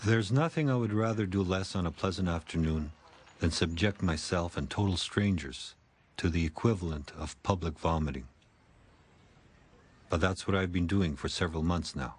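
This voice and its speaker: A man in his fifties with a stammer, reading calm, reflective narration for a documentary about his own speech. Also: low voice, normal speed, slightly rough.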